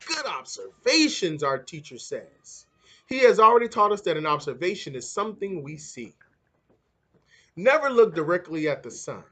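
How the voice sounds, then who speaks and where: Animated, higher-voiced man reading a storybook. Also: moderate pace. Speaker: read-aloud narrator. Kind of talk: children's book read-aloud.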